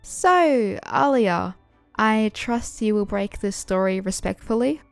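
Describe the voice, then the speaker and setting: A woman's voice: medium pitch, expressive, slow, vocal fry, dry recording, soft background music. A woman voicing a confident, composed superheroine with a coaxing manner in a fan fiction audio story. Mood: composed, teasing. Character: poised superheroine, confident, covering smoothly.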